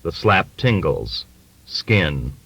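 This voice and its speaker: A man reading literary fiction as an audiobook narrator, with an expressive, deliberate, dramatic delivery. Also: low-pitched, breathy edge, no echo, muffled recording.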